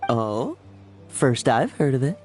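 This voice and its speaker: A man's voice: mid-range voice, big pitch swings, slow pace, darkish tone, faint music behind. A man voicing a cocky, carefree young monster-hunting knight in a video game, teasing and flippant. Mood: dismissive.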